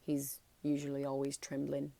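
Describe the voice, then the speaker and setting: A woman's voice: a bass voice, completely flat delivery, moderate pace, gravelly, warm tone. A woman voicing a big, good-natured man in a storybook, talking in a blunt, folksy, hearty way. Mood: chatty, fond.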